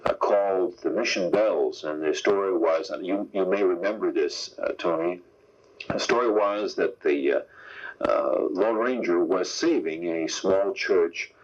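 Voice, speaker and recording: Low, husky male radio interviewer. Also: a little slow. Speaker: radio show host, interviewer. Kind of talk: broadcast interview. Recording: dull lo-fi radio broadcast tape.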